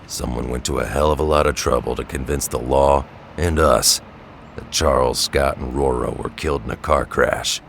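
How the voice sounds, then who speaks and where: A man playing a very deep, gravelly tough-guy hero. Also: steady tone, moderate pace, a bit bright, slight background noise, full character voice. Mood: grim, gruff. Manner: blunt, growling, street-tough. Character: gruff, tough, cynical middle-aged mutant brawler hero. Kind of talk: audio drama acting.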